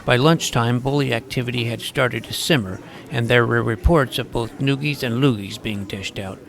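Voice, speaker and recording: An actor playing a nerdy middle-school boy who narrates his own superhero exploits in a dry, self-important, deadpan way. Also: mid-range voice, normal speed, creaky. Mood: earnest. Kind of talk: scripted audio drama narration.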